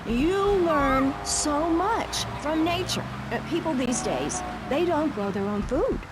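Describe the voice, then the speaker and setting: A woman's voice: sits high, lively intonation, takes it slowly, over loud music, rough recording. An actress playing a judgmental, easily flustered suburban mother ranting on a satirical talk radio panel in a video game. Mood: brittle, preachy. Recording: loud street and car noise behind.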